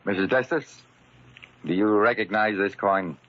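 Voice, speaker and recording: An actor playing a controlled, commanding rich crime boss in a 1940s radio drama. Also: male voice, fairly low pitch, a little slow, natural voice. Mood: cold, commanding. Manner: curt, controlled, authoritative. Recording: muffled 1940s radio recording, loud noise.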